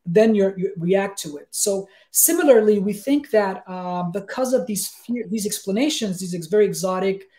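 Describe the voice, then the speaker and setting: Mellow-voiced man. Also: high-pitched, moderate pace, few pauses. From Denmark. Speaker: guest expert, sleep researcher. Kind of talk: conversational explanation in an interview. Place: small room with bookshelves, on a video call.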